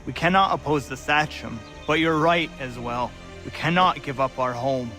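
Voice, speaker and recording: A man's voice: mid-range voice, moderate pace, pushed and bright, lightly acted. A man plays a dignified Mohawk villager bearing bad news. His mid-range voice is earnest, formal and troubled, as in a video game drama, over faint music.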